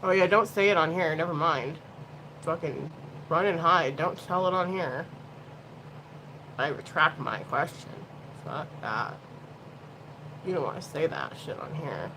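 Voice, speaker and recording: A woman's voice: low voice, a little slow, pauses often, background noise. A woman in her late thirties chatting casually while doing her makeup, rambling and swearing freely. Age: 30s. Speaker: beauty livestream host.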